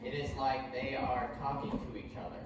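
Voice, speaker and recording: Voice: medium pitch, normal speed, dull audio, natural voice. A performer voicing a friendly green sea creature on a submarine crew in a children's puppet show, talking in an earnest, folksy, simple way. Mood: curious, admiring. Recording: echoey hall from far off, loud audience noise.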